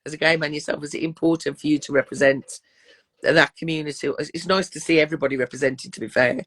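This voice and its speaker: A woman's voice: deep voice, fairly even intonation, fast, a bit bright, breathy edge. A woman hosting a casual interview, affectionate and calling her guest darling. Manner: affectionate, calls people darling and love. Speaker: interview host.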